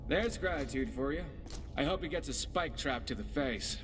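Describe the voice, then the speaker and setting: A man's voice: mid-range voice, lively intonation, moderate pace, lightly acted. A man playing a wry, curious, easygoing pirate companion in a video game, talking in a sardonic, conversational way. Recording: background music under the voice.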